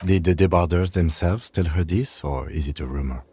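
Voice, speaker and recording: An actor playing a calm, measured police lieutenant in a video game, composed and polite, with a dark, husky voice. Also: male voice, deep voice, dry recording. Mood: probing.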